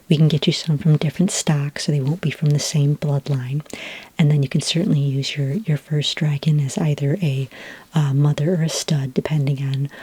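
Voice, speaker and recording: A woman playing a patient, helpful dragonmaster in a soft-spoken ASMR roleplay, whispering breathily and explaining gently and hesitantly up close to the microphone. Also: sits low, husky, no echo. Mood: helpful, calm. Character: patient, helpful female dragonmaster giving business advice. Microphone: close microphone in a dry space.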